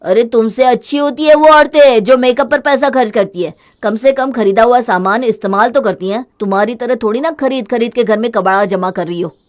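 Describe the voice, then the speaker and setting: A woman's voice: on the high side, brisk, few pauses, natural voice. A woman voicing an exasperated husband in a family story, with a sarcastic, reproachful manner. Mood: annoyed, scolding. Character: exasperated, scolding husband, critical of wasteful spending.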